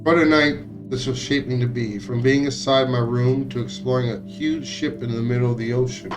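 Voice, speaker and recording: A man streaming a visual novel, reading its text aloud in a somewhat halting way with occasional stumbles. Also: medium pitch, fairly even intonation, over music. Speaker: game streamer.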